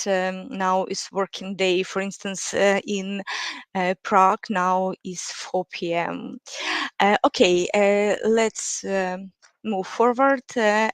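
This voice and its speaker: Woman hosting a webinar, halting. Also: mid-range voice, dry recording. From Ukraine. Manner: hesitant, many fillers, simple non-native English. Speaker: webinar host, marketing manager. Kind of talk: live webinar introduction. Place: office.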